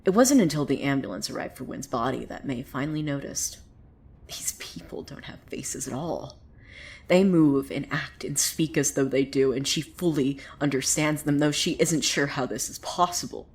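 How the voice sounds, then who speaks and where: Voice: mid-range voice, close to an everyday voice. A woman playing a wry, theatrical narrator of a surreal small town in a scripted audio drama, telling the tale dramatically. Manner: theatrical, swinging from hushed to excited. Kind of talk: dramatic scripted storytelling monologue.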